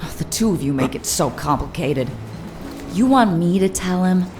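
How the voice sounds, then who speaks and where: An actress playing a nosy, exasperated comrade in a video game, animated and teasing. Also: female voice, lowish voice, normal speed, soft background music, lightly acted. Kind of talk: game cutscene dialogue.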